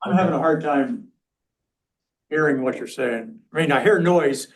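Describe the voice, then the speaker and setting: A man's voice: on the high side, moderate pace. Man leading an informal committee meeting. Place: council meeting room.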